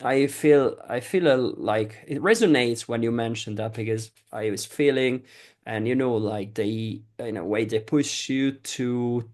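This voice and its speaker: A man's voice: medium pitch, unhurried, a little mellow. A man hosting a podcast in casual conversation, talking hesitantly with frequent restarts and fillers. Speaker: podcast host.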